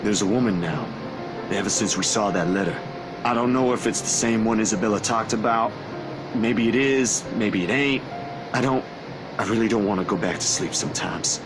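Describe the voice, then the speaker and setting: A man's voice: on the low side, moderate pace, close to an everyday voice, poor audio. An actor playing a laid-back young man in a visual novel game, an uneasy but loyal friend who talks informally and a little hesitantly. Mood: haunted, reluctant. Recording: music behind the voice.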